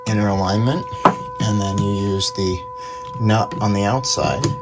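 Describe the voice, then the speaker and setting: A man's voice: on the low side, very animated, normal speed, loud music behind the voice. A man giving casual, unscripted commentary as he does a repair, half talking to himself as he works. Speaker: antique radio repairer, video host.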